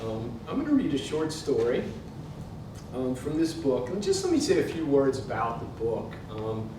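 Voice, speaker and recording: A man's voice: huge pitch swings, normal speed. A man in his sixties with an American accent, giving a literary reading to a live audience. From Pittsburgh, United States. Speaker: author reading from his book. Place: indoor museum event space. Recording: very echoey hall, distant mic, noisy rumble.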